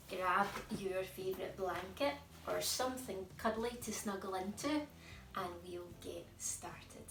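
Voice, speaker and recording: A woman storyteller narrating a picture book for young children in an expressive, emphatic way. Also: medium pitch, vocal fry. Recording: echoey room, distant mic.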